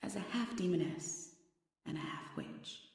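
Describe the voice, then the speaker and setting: A woman's voice: on the low side, expressive, measured pace, gravelly, a little mellow. A woman playing a haughty, sadistic half-demon, half-witch villainess in an ASMR fantasy roleplay, mocking and imperious with theatrical menace. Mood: gloating. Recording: very echoey, slightly distant.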